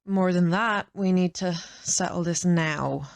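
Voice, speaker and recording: A woman's voice: on the low side, unhurried, creaky, dry recording. A woman playing a blunt, no-nonsense young woman in an audio drama, speaking directly and assertively. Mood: firm. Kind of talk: audio roleplay drama acting.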